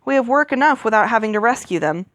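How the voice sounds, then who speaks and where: Gloomy storybook knight, played by a woman reader: complaining, melancholy, animated pitch, dry sound. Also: fast talker, dry recording. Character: gloomy, grumbling male knight.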